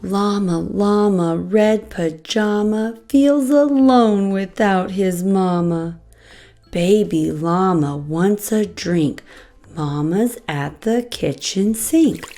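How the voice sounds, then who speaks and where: Female storybook host for little kids: expressive read-aloud, very unhurried, light music underneath. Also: mid-range voice. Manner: slow, expressive, addressing young children. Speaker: children's storybook reader and channel host.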